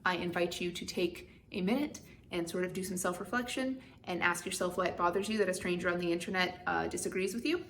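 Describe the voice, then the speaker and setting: A woman's voice: lowish voice, a little hiss or hum. A young adult woman hosting a book channel, talking through a book tag in a candid, opinionated and wry way. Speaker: BookTube channel host. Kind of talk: casual book tag vlog.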